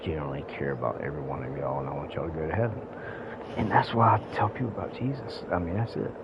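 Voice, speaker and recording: A man's voice: a bass voice, fast talker, muffled recording, heavy background noise. A man, rambling casually to viewers on a gaming livestream. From South Carolina, United States. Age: late 40s. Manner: rambling, addresses viewers directly. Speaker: live streamer. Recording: music playing behind the voice.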